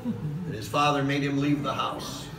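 A middle-aged man preaching a sermon from a podium in a large church hall, passionate, with "okay?" asides and slow emphatic lines. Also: medium pitch. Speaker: pastor. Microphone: far camera in the hall. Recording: echoey hall recorded from a distance with noise.

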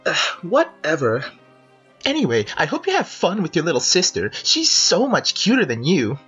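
A woman's voice: fairly low pitch, wildly swooping pitch, moderate pace, fairly bright tone, husky, highs cut off, soft background music, heavily acted. A woman voicing a smug, condescending young costumed convention-goer in a cartoon dub, gushing with fake sweetness. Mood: mocking. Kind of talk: animated web comic dub acting.

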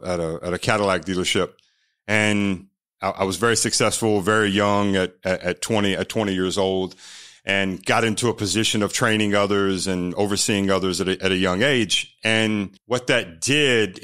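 A man's voice: fairly low pitch, a bit bright, clean tone. An American man in his thirties, a podcast guest telling his life story candidly, often repeating words as he starts a sentence.

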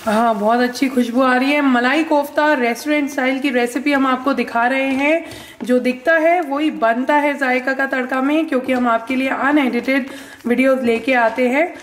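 A young woman with a high voice co-hosts a cooking show. She asks questions and speaks straight to viewers. Faint kitchen noise sits behind her. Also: steady tone, normal speed. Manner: prompting with questions and addressing viewers. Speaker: co-host, daughter of the cook. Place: home kitchen.

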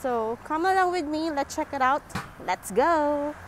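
Young woman vlogger, slow easygoing delivery, somewhat high voice, earphone mic on a phone, faint street ambience behind. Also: animated, a little hiss or hum, rough recording. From Singapore. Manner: slow, chatty, simple phrasing. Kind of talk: casual vlog narration. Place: outdoors at night in a city. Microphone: wired earphone mic, phone at arm's length.

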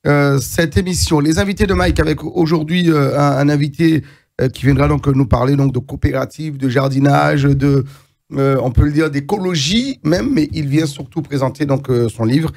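A man's voice: fairly high pitch, normal speed, vocal fry, darkish tone. A middle-aged man hosting a studio TV interview, introducing his guest in a hesitant way with many fillers and restarts. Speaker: TV show host. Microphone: lapel mic.

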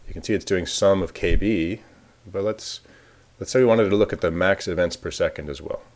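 A man casually talking through a software demo, thinking aloud as he clicks around the screen. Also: sits low, a little fast. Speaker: software developer demoing a tool. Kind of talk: screencast walkthrough.

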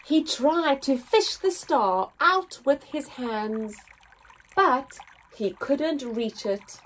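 A young woman reading a picture story aloud for small children, expressively, with deliberate pauses and emphasis and a slightly husky voice. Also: high-pitched, expressive, slight background noise, rough recording. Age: young adult. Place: indoors, small room with painted backdrop.

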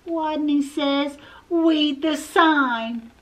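A woman's voice: very slow, full character voice. Woman as a timid little rodent boy with W-for-R speech. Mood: timid squeak. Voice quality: can't say R, says W instead. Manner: quiet, timid, miserable mumbling and squeaking. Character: tiny, painfully shy young male rodent schoolboy. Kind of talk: children's picture book read aloud.

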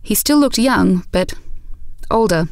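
A woman's voice: medium pitch, expressive, slow pace, darkish tone, no echo. A woman narrator reading scripted prose for an audio drama.

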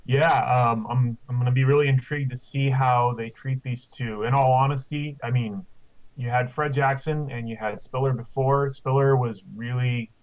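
Man on a slightly dull video call. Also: mid-range voice. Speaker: fantasy football analyst. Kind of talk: casual, conversational sports analysis. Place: small room at home.

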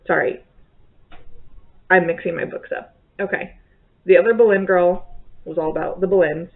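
A woman in her thirties chatting casually at home about her month's reading, rambling and correcting herself. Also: lowish voice. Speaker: book vlogger. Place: bedroom or home office, indoors. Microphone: webcam at desk. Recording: tinny phone-like sound.

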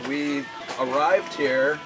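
Higher-voiced man with music behind. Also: slow pace, poor audio. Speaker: guest. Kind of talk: casual chat. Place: open boat deck.

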